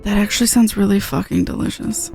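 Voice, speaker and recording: A woman's voice: medium pitch, steady tone, fairly quick, creaky, darkish tone, soft background music. A woman chatting casually as she streams a video game. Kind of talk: casual live gameplay chat.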